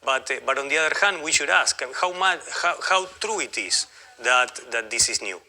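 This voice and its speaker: A man from Argentina with an Argentine Spanish accent, giving a spontaneous academic lecture in a halting, discursive way with frequent self-corrections. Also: medium pitch, lively intonation, sharp tone. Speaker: guest lecturer, academic historian.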